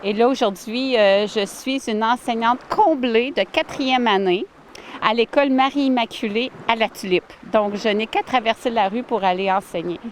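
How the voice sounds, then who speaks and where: A woman, answering interview questions warmly and earnestly outdoors by a lake, with many fillers and restarts. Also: a little high, moderate pace, a little hiss or hum. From Ottawa, Canada. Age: 40s. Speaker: village mayor, interviewee.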